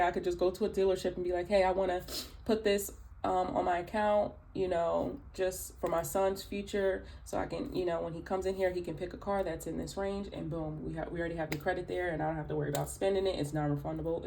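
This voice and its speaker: A woman with a raspy early-morning voice, chatting through a tarot reading with many fillers and asides. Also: on the low side, a little mellow, a little hiss or hum. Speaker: tarot reader. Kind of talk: casual spoken tarot reading.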